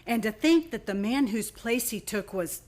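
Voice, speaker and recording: A woman's voice: on the high side, animated, normal speed, darkish tone. A woman playing an effusive, admiring department store publicity worker in an old-time radio play. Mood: gushing. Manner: effusive, chatty.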